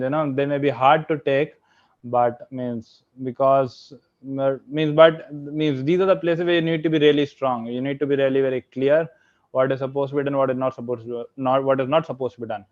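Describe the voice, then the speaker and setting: A man's voice: mid-range voice, steady tone, normal speed, darkish tone, highs cut off. A young man with an Indian accent giving an online lecture with slides. He keeps filling his sentences with "okay" and "means" and puts questions to the audience. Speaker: guest speaker, software engineer.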